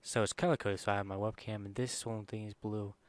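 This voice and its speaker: A man with a quiet voice walking through a screen-recorded software tutorial in a casual, rambling way, full of fillers and self-corrections. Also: on the low side, fairly even intonation, quick pace, dry recording. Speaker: streamer giving a software tutorial.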